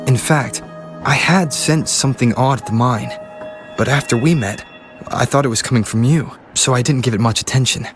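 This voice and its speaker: A calm, analytical male investigator from a video game, acted by a man. His mid-pitched voice is measured and composed as he explains things, with music clearly behind him. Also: lightly acted.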